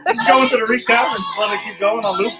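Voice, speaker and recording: A man's voice: high voice, quick pace. A man hosting a casual sports podcast, talking in a loose, rambling way with fillers. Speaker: podcast host. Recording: music playing loudly behind.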